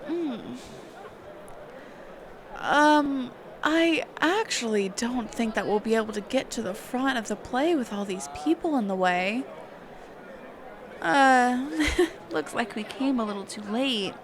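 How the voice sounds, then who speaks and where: A woman playing a sheltered, curious mage teacher in a conversational roleplay audio drama, halting and often correcting herself. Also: on the high side, expressive. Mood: flustered, curious. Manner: halting, hesitant, trailing off and self-correcting.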